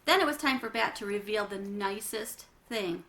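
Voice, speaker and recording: A woman's voice: mid-range voice, normal speed, pushed and bright. A woman reading a children's book aloud, narrating with chatty asides to young listeners. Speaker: children's read-aloud reader.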